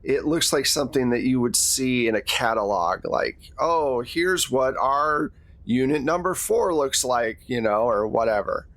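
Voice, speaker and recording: Man, game master, slow deliberate narration, conversational, close dry recording. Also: medium pitch, animated, fairly bright tone, no echo. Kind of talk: narrating a tabletop horror game.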